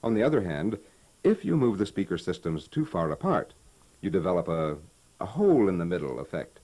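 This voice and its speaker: An actor playing a patient, knowledgeable American hi-fi expert in a scripted, folksy instructional conversation from 1960. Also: male voice, sits low, big pitch swings, a little fast, mellow. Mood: instructive. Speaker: presenter explaining stereo equipment. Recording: vintage 1960 promotional tape recording.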